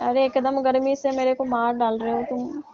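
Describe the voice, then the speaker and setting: Woman doing flirty married aunty on a phone skit, kinda high voice, teasing n whiny, muffled lofi sound. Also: level tone, dark tone, natural voice. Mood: coy complaining. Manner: coy, teasing, drawn-out complaining. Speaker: performer in a comedy phone-call skit. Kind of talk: staged intimate phone chat in colloquial Hindi. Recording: dull, lo-fi phone-call audio.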